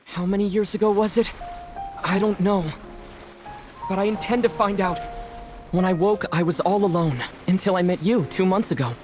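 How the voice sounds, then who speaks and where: A man playing an earnest young traveller in a game, narrating his story in a solemn, measured way. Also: high voice, close to an everyday voice. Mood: solemn, resolute. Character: earnest young male traveller searching for lost sister. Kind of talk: dramatic game cutscene narration. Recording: long reverb, faint music behind.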